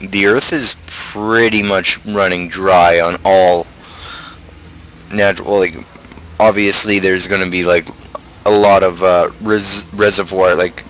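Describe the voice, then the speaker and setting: Low-voiced young man ranting casually. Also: steady tone, slow, fairly bright tone, a little hiss or hum, low-quality recording. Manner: opinionated, ranting. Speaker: livestream host. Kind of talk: casual rambling livestream chat.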